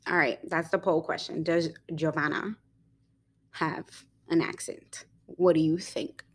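A young woman co-hosting a casual podcast, talking in a rambling way with many fillers and quick giggles. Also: sits low, fairly even intonation. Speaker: podcast co-host.

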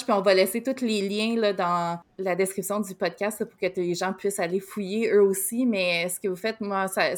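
A woman's voice: medium pitch, fairly bright tone. Chatty Québécois woman hosting. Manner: chatty, full of fillers and restarts. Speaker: podcast co-host. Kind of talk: casual interview chat.

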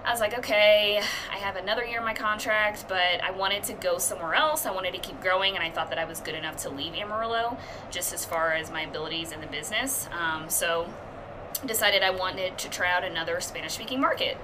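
A woman's voice: fairly low pitch, fairly quick, noisy background. A young woman in her twenties, a TV news reporter, chatting upbeat and casually in an interview with many fillers.

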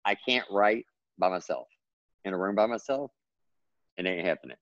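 A man's voice: normal speed, dry recording, muffled recording. A man from Texas with a Texan accent, a writer chatting earnestly and at length as an interview guest. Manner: earnest, rambling, gets excited and pitches up. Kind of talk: casual interview chat.

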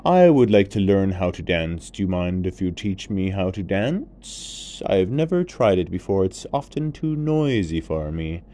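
A man voicing a sneaky, hungry male tiger who speaks in a polite, fussy and measured way. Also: sits low, big pitch swings, dark tone, pitched below the performer's own voice. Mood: sly.

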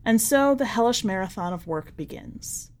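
Woman, mid-range voice, scripted fiction narration, measured phrasing, deliberate delivery, falling line endings. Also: medium pitch, animated, a little mellow. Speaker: podcast editor reading a short story aloud.

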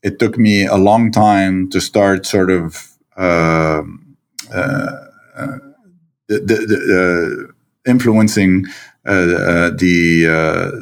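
A man with a Lebanese accent and a very low, deep voice, speaking as an interview guest. He talks haltingly, with frequent fillers and restarted phrases. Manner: hesitant, many fillers and restarts. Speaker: guest, stepfather. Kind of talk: conversational interview.

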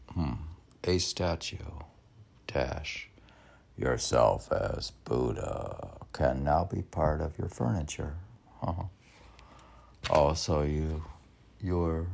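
A man reads poetry aloud casually, rambling into his own commentary and musing haltingly, as if arguing with himself. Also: a bass voice, gravelly, darkish tone, husky. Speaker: poet reading own poems aloud.

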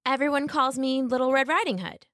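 A woman's voice: sits high, steady tone, a little fast, fairly bright tone, dry recording, studio quality, pitched above the performer's own voice. A woman voicing a polite, innocent young girl with a childlike, cheerful manner in a children's story.

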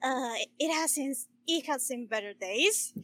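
A woman with a high-pitched voice. She talks slowly and haltingly, in an informal way suited to a casual podcast conversation. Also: animated, noisy background. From Chile. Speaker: podcast co-host. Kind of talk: casual chat.

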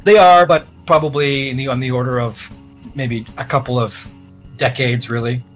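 A man runs a tabletop role-playing game as game master, mid-pitched and matter-of-fact. He improvises his narration and explains as he goes, with soft guitar music underneath. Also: steady tone, moderate pace, low-quality recording. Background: plucked guitar music.

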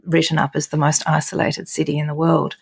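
A middle-aged woman with an Australian accent chats as a podcast guest. Her voice sits low with a gravelly, slightly husky edge. She talks haltingly, often pausing and circling back mid-sentence. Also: flat delivery. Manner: hesitant, many ums and restarts. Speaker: guest photographer. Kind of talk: casual interview conversation.

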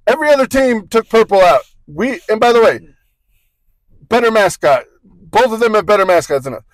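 A man's voice: sits high, expressive, brisk, dry recording, low-quality recording. A man co-hosting a casual sports podcast, rambling through points with joking asides and frequent self-corrections. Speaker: podcast co-host.

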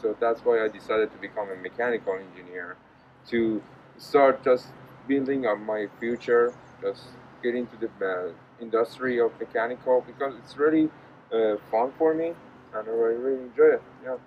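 A man's voice: medium pitch, normal speed, phone-quality sound, a little hiss or hum. A young man from Iran, a graduating engineering student, speaks hesitantly in non-native phrasing about his background. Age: young adult.

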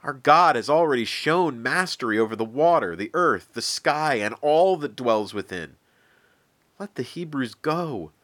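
A man's voice: medium pitch, animated, a little slow, fairly bright tone, no echo, pitched above the performer's own voice. A man voicing a stern, earnest prophet who pleads with emphasis. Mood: urgent.

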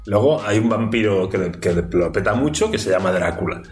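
A man's voice: on the low side, lively intonation, brisk, a little mellow. A man from hosting a podcast, joking around and asking playful questions in casual chat. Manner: joking, asks naive questions. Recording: roomy echo, faint music.

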